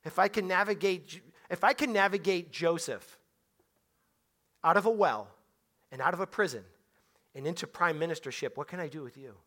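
A man preaching a sermon in a conversational way, swinging between hushed and loud passages and repeating his phrases. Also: high-pitched, moderate pace, pauses often.

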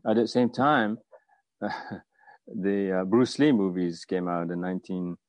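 A man in his fifties from Afghanistan telling his own story as an interview guest, with a halting, hesitant delivery. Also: low-pitched, no room sound. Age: 50s. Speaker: guest, martial arts teacher.

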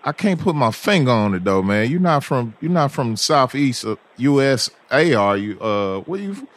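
A man's voice: medium pitch, very animated, moderate pace. A man co-hosting a casual interview, teasing the guest playfully with friendly slang. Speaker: podcast co-host.

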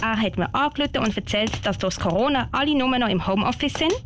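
Brave, eager ten-year-old girl scout played by a woman, highish voice, Swiss German accent, amateur radio drama. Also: fast, background noise, lightly acted. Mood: determined. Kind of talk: amateur radio play acting.

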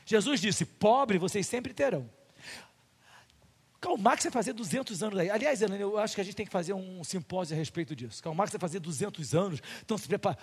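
A man's voice: sits high, animated, brisk, husky, big-room sound. A male pastor with a Brazilian Portuguese accent preaching a sermon in a church, full of rhetorical questions and acted-out little dialogues.

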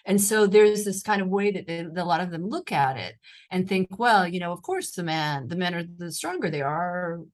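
A woman's voice: on the low side, animated, normal speed. An American woman journalist answering interview questions in a thoughtful, conversational way, often restarting her sentences. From United States. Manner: thoughtful, with frequent restarts and fillers. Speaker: journalist and author, interview guest.

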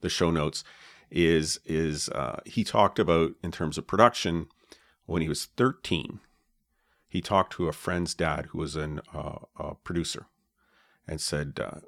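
A man with a low voice, co-hosting a podcast and explaining his gear in a relaxed way, with many fillers, on a dynamic mic with a pop filter. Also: measured pace, vocal fry, dry recording. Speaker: podcast co-host. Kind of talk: casual chat about podcast gear. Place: home podcast setup. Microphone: dynamic podcast mic with pop filter and foam cover.

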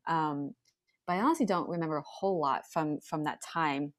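An American woman raised in Hong Kong, sharing her personal story as a podcast guest in a thoughtful, halting, searching way. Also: sits low, no echo, clean studio sound. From born in China, raised in Hong Kong in an American family. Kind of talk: reflective personal storytelling in conversation.

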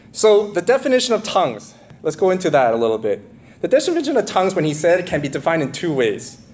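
Male preacher, slightly high voice with big pitch swings, explaining scripture and checking in with listeners, light noise behind. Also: darkish tone. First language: English. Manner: asks the congregation questions, frequent check-in tags like "right?" and "okay?". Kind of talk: expository sermon.

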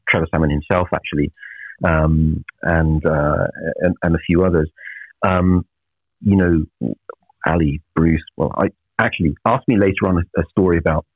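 Middle-aged man, low husky voice, tinny phone-like sound, dry, measured and reflective. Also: no echo. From Naples, Italy. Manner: measured, reflective, many filler pauses. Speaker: professor, guest. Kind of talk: interview answers.

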